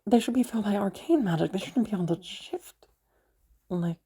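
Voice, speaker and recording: A woman playing an anxious, sweary frost mage in an audio roleplay, muttering hesitantly and haltingly. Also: medium pitch, warm tone, lightly acted. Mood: flustered, anxious. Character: flustered, sweary young frost mage trying to protect a visitor.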